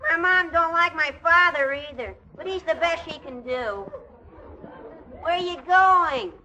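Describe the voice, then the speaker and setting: Inquisitive little boy, high piercing voice, slow blunt questions, dull old radio recording. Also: sharp tone, a faint crowd, slight background noise, heavily acted. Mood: nosy. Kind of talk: 1940s radio acting.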